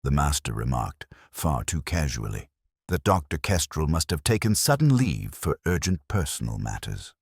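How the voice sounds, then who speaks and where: A man narrating a literary detective story in the first person, in a measured, solemn and reflective manner. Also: extremely low pitch, big pitch swings, husky, dry recording, clean studio sound.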